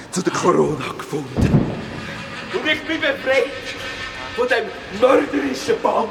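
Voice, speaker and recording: A man playing a fantasy-world ruler in a Swiss German children's audio drama, telling the tale in an emphatic, theatrical way. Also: high-pitched. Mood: solemn, grateful. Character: grateful fantasy-world ruler freed from a spell, recounting dramatically. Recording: long reverb, music behind.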